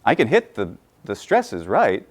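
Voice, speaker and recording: A man's voice: lowish voice, wildly swooping pitch, unhurried. Guy lecturing at a conference, lots of uh and um and restarts. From United States. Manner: thinking aloud with many fillers and restarts. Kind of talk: conference talk. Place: conference room.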